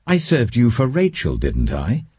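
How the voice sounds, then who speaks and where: A man's voice: mid-range voice, huge pitch swings, a little slow, mellow, breathy edge, no echo, voice pushed up, exaggerated voice. A man voicing an indignant, ardent male suitor in a dramatic scripture audiobook, with pleading, swooping emphasis.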